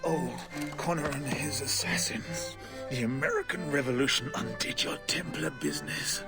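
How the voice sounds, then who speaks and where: A man's voice: mid-range voice, normal speed, over loud music, lightly acted. An actor playing an earnest, formal aristocratic father in a period video game. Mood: defiant. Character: earnest aristocratic father, devoted secret-order member.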